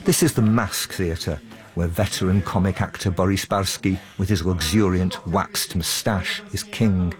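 A man's voice: sits low, measured pace, crowd noise. A man working as a reporter, presenting a scripted documentary narration.

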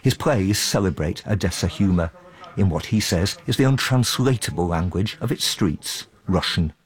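Deep-voiced man narrating a documentary report. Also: animated, moderate pace. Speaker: reporter and presenter.

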